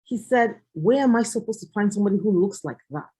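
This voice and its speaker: Husky Nigerian-born woman farmer. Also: medium pitch, fast, poor audio. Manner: animated storytelling. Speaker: farmer and agriculture lecturer. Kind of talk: panel discussion.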